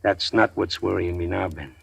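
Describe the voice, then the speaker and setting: A man playing a wary middle-aged gangster who was once a preacher, folksy and drawling, with quiet menace, in 1940s radio drama style. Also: low voice, moderate pace, gravelly, a little hiss or hum.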